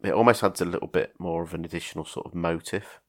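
A man's voice: sits low, steady tone, fast talker. A man hosting a casual film podcast, talking in a hesitant way with many ums and restarts. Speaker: podcast host.

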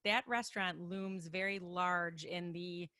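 Chatty chicago lady, kinda low voice but really bright, laughs at herself a lot. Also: fairly even intonation, measured pace, no echo, clean studio sound. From Chicago area, USA. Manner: chatty, self-deprecating, laughs easily. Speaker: guest, makeup artist. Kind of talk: casual conversational interview.

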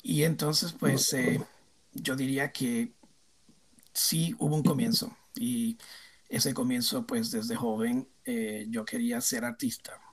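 A man in his fifties with a Puerto Rican accent speaks as an interview guest, thoughtful and hesitant, filling pauses with "eh". His mid-pitched voice has a slightly husky edge. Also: steady tone. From Puerto Rico. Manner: reflective, hesitant, many fillers. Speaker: guest, theme park designer. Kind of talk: casual interview answers.

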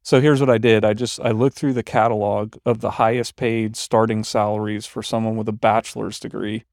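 A man's voice: on the low side, flat delivery, a little fast, creaky, darkish tone, dry recording, studio quality. A man in his forties, co-hosting a podcast, talking casually in a reflective, rambling way. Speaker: podcast co-host. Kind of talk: casual chat.

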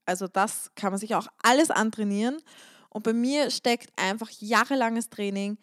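A woman's voice: on the high side, normal speed, no room sound, clean studio sound. A woman hosting a solo podcast on stretching, talking in a chatty, emphatic way and repeating words to stress a point. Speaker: podcast host and stretching coach. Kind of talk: casual solo podcast monologue.

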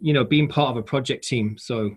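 A man's voice: mid-range voice, a little fast, slightly rough. A man in his forties from Yorkshire with a Yorkshire accent, chatting as a guest in a rambling, self-deprecating way with wry asides. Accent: Yorkshire English. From Yorkshire, England. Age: 40s. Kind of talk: casual interview chat.

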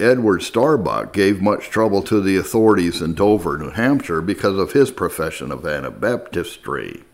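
A man narrating a historical text in a measured, formal, scripted audiobook style. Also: low voice. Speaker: narrator reading historical texts.

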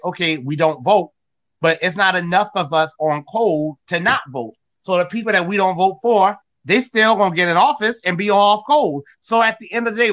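Male host, high voice, emphatic and preachy, hammers his points home. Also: pushed and bright. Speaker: host of an online audio room. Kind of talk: political talk.

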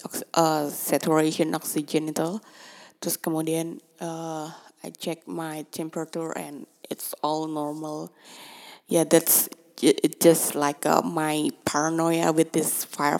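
A woman's voice: sits low, gravelly, darkish tone. A woman talking casually, her first language Indonesian, speaking hesitant English with many fillers and restarts and laughing often. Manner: switches between Indonesian and English mid-sentence. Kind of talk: casual monologue.

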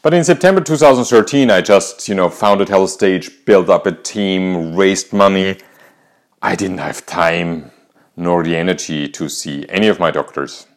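Low-voiced Austrian man telling his story. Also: normal speed. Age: 50s. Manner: calm, reflective, candid. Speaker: podcast host. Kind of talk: personal storytelling monologue.

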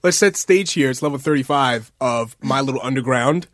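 A man in his mid-twenties hosting a podcast, speaking in a relaxed, plain and slightly scatterbrained way. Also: mid-range voice, moderate pace, no room sound, clean studio sound. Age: 20s. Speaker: podcast host. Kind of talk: casual podcast chat.

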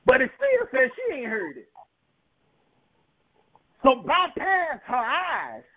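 A man preaches with a very high, lively voice that swings widely as he drives home repeated phrases. The sound is tinny and narrow, like a broadcast over a phone line. He speaks in a rhythmic, emphatic sermon cadence. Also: slow pace.

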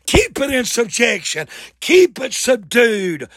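A man preaching a fervent church sermon in a raspy voice, with emphatic, folksy exclamations and repeated phrases. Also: extremely high pitch, very animated, slow pace, a bit bright, dry recording. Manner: folksy, colloquial.

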